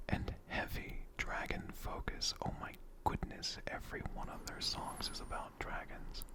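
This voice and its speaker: A man speaking softly and gently in an ASMR style, breathy and often whispering, rambling unhurriedly as he answers questions off the cuff. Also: lowish voice. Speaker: ASMR creator and channel host. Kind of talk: soft-spoken ASMR, unscripted question-and-answer.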